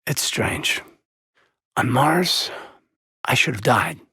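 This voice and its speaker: Raspy sincere space soldier for a video game, really expressive pitch, dry close sound. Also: male voice, takes it slowly, no room sound, full character voice. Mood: heartfelt. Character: earnest, loyal soldier in a sci-fi war.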